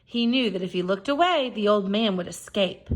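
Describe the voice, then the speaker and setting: A woman's voice: medium pitch, animated, moderate pace, slight background noise. Woman reading a storybook aloud. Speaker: storybook reader. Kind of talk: expressive read-aloud narration.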